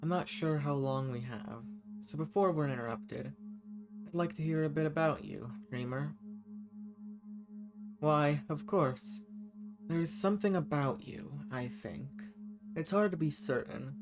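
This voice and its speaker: A man's voice: fairly high pitch, unhurried, pauses often. A man playing a banished ancient villain, seductive, scheming and lonely, speaking intimately and teasingly to the listener in a roleplay audio drama. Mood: scheming, intrigued, then intrigued. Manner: flirtatious, teasing, theatrical. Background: music.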